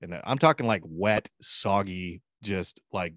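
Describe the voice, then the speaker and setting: A man's voice: low-pitched, measured pace, fairly bright tone, dry recording, studio quality. A man chatting casually in a profane, rambling way, often jumping in with interjections. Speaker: talk show co-host. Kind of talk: casual bantering chat.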